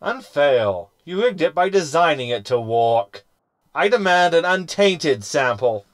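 An actor playing a scheming, sarcastic hologram in a sci-fi comedy audio drama, with an arch, wordy manner. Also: male voice, a little high, sing-song, a little slow, fairly bright tone, smooth, no room sound, clean studio sound. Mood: indignant. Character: scheming, sarcastic male hologram crewman, self-satisfied. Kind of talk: science fiction comedy audio drama acting.

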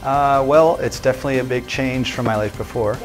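A man in his thirties answering interview questions in a small room on a lapel mic, halting, with many fillers and restarts. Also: medium pitch, over music.

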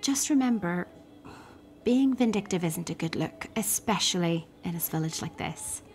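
A woman's voice: lowish voice, expressive, moderate pace, darkish tone. A woman acting in a radio soap as a frank hairdresser with a dry, conversational manner. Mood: challenging.

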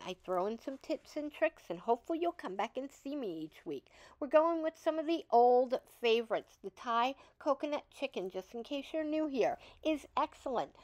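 Middle-aged woman, upbeat, chatty, high-pitched, dry close voice-over. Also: dry recording. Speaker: vlog host. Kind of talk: casual shopping voice-over.

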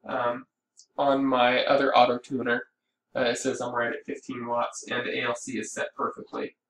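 A man giving a casual, explanatory software walkthrough as a hobby radio operator, thinking aloud as he goes. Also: mid-range voice, flat delivery, moderate pace. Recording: roomy, distant mic with background noise.

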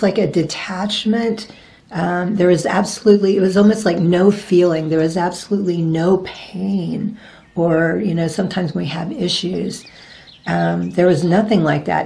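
A woman in her forties speaks to her webcam about her own experiences, her voice a little raspy and gravelly with some vocal fry. Her pitch sits on the low side. She rambles loosely and keeps stopping to hunt for the next word. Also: a little mellow. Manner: rambling, searching for words with many ums. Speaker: reiki practitioner. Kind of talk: casual spiritual vlog talk. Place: indoors, virtual blossom backdrop.

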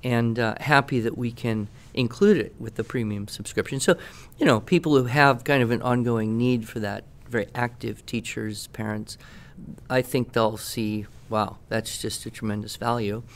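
A man's voice: mid-range voice, normal speed. A middle-aged American man speaking conversationally on a podcast as a teacher and expert, with an enthusiastic, emphatic manner. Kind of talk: conversational product talk on a podcast.

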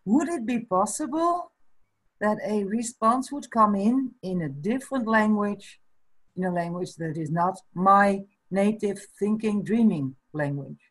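Woman, fifties, Dutch accent, Frisian native, slow deliberate speech, breathy tone, webcam in small room. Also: mid-range voice, expressive, poor audio. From Netherlands. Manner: slow and thoughtful, breaks into small laughs. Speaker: guest. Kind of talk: casual chat. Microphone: video call webcam.

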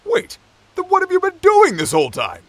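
Man as loud, exasperated giant lumberjack. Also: very high-pitched, wildly swooping pitch, moderate pace, voice pushed up, heavily acted. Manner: loud, impatient.

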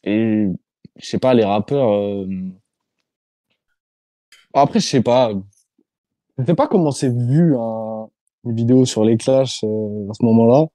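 A man's voice: mid-range voice, a little slow, pauses often, mellow, no echo. A man from France, a YouTuber guest, answering interview questions over a video call in a hesitant, slangy way. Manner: hesitant, many fillers and restarts, loose slang.

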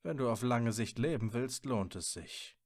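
A man's voice: mid-range voice, a little slow, no room sound, clean studio sound. A man acting a stern, guarded, tormented wizard in a fan audio drama, with a curt, sardonic manner. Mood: sober, matter-of-fact.

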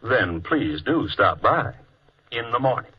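An actor playing a tired, irritable shop manager in an old radio drama, curt and dryly sarcastic. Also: male voice, mid-range voice, sing-song, takes it slowly, background noise. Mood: irritated, sarcastic. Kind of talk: 1950s radio drama acting. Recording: muffled old radio recording.

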